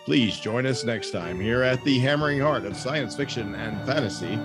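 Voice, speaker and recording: A man's voice: medium pitch, expressive, normal speed. A man reading a scripted podcast sign-off as host, in a wry, florid announcing manner. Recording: guitar music under voice.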